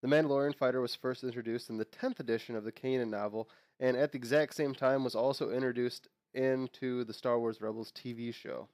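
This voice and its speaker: A man's voice: medium pitch, fairly even intonation, brisk, a little mellow, no echo. A young man hosting his own channel, sounding nasal from a cold, chatting casually and rambling with fillers and restarts. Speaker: host. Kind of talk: casual unboxing and lore talk.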